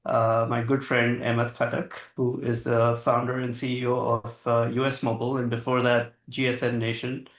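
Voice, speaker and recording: A man hosts a fireside chat in a friendly, casual way, with easy fillers between thoughts. His pitch sits mid-range and stays flat, and the sound carries an echoey room. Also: moderate pace, few pauses. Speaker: moderator, interviewer.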